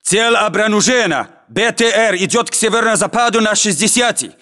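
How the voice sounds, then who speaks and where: A brisk, commanding soldier directing fire and searches in a war game. His pitch swings widely between short, clipped orders. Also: animated, sharp tone.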